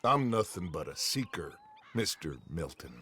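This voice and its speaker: An actor playing a grandiose, defiant middle-aged American outlaw leader in a video game, speaking in theatrical, sardonic oratory. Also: male voice, deep voice, big pitch swings, normal speed, bright, forceful tone, slight background noise, exaggerated voice. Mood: philosophical. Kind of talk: dramatic video game cutscene acting.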